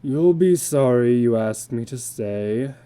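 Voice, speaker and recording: Male reader as weary middle-aged drifter, very dark tone, dragging pace, resigned, dramatic storybook. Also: medium pitch, expressive, clean tone. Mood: bitter, weary. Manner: slow, heavy, resigned delivery. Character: weary, heavyset tattooed carnival drifter, a middle-aged man.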